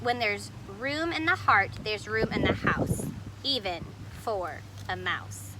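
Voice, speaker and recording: A woman voicing a kindly, forgetful, excitable grandmother in a storybook, exclaiming and wondering aloud dramatically. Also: mid-range voice, takes it slowly, very noisy.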